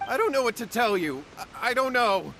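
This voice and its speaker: A man's voice: very high-pitched, expressive, moderate pace, sharp tone, slight background noise, heavily acted. A stammering, flustered young man. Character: nervous young man, scheming and easily cowed. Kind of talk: video game voice acting.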